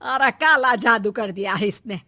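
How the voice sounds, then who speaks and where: A woman's voice: a little high, moderate pace, sharp tone, no echo, clean studio sound. A woman playing a scheming old mother-in-law and grandmother in a moral-story cartoon, scolding and sarcastic. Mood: bitter, sarcastic.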